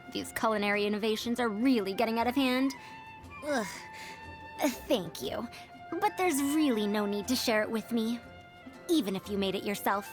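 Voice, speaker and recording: A woman's voice: fairly high pitch, normal speed, pauses often, over music, natural voice. A woman voicing a proud, fussy century-old scholar with a youthful girlish voice, pompous and lecturing, for a video game. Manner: pompous, lecturing, old-fashioned elder phrasing. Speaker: game character voice. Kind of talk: animated video game voice lines.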